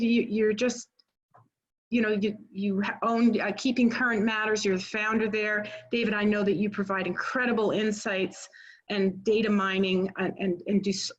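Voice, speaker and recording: A woman's voice: mid-range voice, low-quality recording. A woman hosting a webinar on a video call, gushing thanks in a gracious, rambling way. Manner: effusive, gracious, rambling with restarts. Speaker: webinar host. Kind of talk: welcoming introduction.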